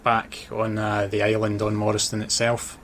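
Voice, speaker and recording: A Scottish man with a low voice and little rise or fall talks casually as an interview guest. His accent blends Dundee, Glasgow and Edinburgh, and he pauses to think. There is some noise behind him. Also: monotone, fairly bright tone. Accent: Scottish, mix of Dundee, Glasgow and Edinburgh. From Dundee, Scotland. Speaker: interview guest, author and sports journalist. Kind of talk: casual radio interview chat. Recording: clear background noise.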